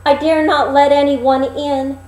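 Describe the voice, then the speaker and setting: A woman voicing a naive, trusting young storybook maiden in a high, polite, innocent voice. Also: level tone, unhurried, a little mellow, roomy sound. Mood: wary.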